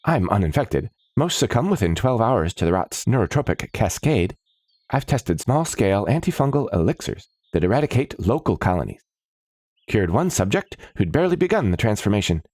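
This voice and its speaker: Man voicing an eager, high-pitched brainy woman alchemist. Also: normal speed, dry recording, studio quality. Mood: earnest. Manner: verbose, precise scientific jargon, excitable. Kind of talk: fantasy story character dialogue.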